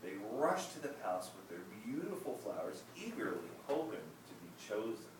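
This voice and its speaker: A man reading a children's story aloud in a gentle, measured way. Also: on the low side, big pitch swings. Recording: echoey room, distant mic, noisy.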